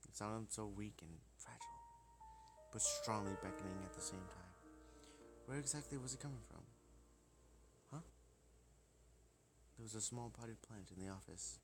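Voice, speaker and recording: A man's voice: fairly low pitch, moderate pace, pauses often. A man reading first-person visual novel narration aloud. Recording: loud music behind voice. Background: music.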